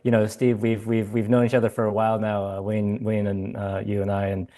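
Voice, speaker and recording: A man's voice: fairly low pitch, level tone, brisk, warm tone. A man co-hosting a podcast, casually steering the chat and asking the guest questions. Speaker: podcast co-host.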